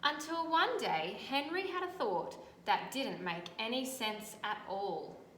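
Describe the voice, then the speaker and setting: Australian woman, teacher reading a storybook to kids, slightly high voice, echoey room with faint noise. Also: animated, measured pace. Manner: gentle, explaining, pointing out picture details. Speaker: teacher reading a picture book aloud. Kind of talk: storybook read-aloud with commentary on pictures.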